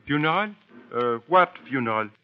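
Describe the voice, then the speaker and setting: An actor playing a courteous, probing detective with a French-speaking Belgian accent and halting, formal phrasing in a radio drama. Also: male voice, mid-range voice, expressive, slight background noise, natural voice. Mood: curious, polite. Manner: halting, formal, questioning with small interjections. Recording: dull old radio recording.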